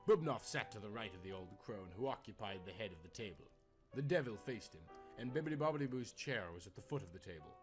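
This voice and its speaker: A man's voice: lowish voice, animated, quick pace. A man reading a scripted tale as a theatrical, dramatic storyteller. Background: music.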